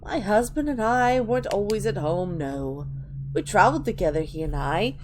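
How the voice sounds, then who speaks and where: A woman voicing an eerie, wistful old granny witch who rambles slowly in a dramatic reading of video game lore. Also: mid-range voice, expressive, background noise, lightly acted. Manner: slow, wistful rambling with drawn-out endearments.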